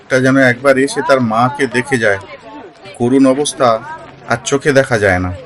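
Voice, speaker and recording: A man's voice: medium pitch, normal speed, fairly bright tone, close to an everyday voice. A man voicing a concerned village father in a cartoon, sympathetic and lamenting, over very noisy sound with animals. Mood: earnest. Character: concerned village father, gossiping indignantly about an old teacher's plight. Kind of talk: Bengali cartoon dialogue.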